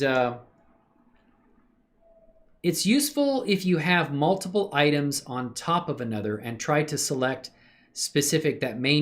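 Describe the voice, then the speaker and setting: Casual man with very lively, swinging pitch. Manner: laid-back, slangy, appreciative, thinks aloud. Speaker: livestream host showing 3D animation work. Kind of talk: casual chat with live viewers.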